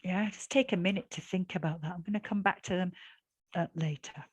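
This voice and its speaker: A woman's voice: lowish voice, brisk, breathy edge, no echo. Chatty woman teaching on a video call. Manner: chatty, encouraging, with frequent fillers and audible breaths. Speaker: English exam teacher. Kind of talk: online class instruction.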